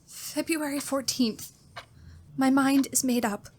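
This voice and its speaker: A woman's voice: high-pitched, slow pace, a little mellow. An actress playing a frightened, timid young woman in a radio thriller, anxious, breathless and stammering. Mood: anxious, resolved. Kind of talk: old-time radio thriller acting.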